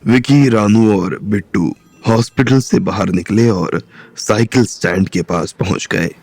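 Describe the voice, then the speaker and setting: Deep gravelly male narrator. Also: unhurried. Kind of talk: audiobook narration. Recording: soft music bed underneath.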